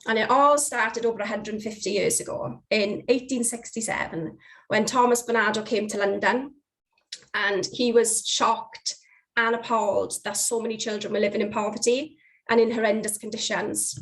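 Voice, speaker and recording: A woman with a croaky voice from being under the weather, giving a friendly charity presentation on a video call. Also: on the high side, fairly even intonation, normal speed, fairly bright tone, low-quality recording. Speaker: charity volunteering partner. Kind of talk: online presentation.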